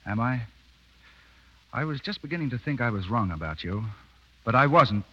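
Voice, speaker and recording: A forceful, hot-headed cavalry officer. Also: male voice, mid-range voice, lively intonation, moderate pace. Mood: angry. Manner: blunt, sarcastic. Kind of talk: 1930s radio drama acting. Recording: dull vintage 1930s radio broadcast.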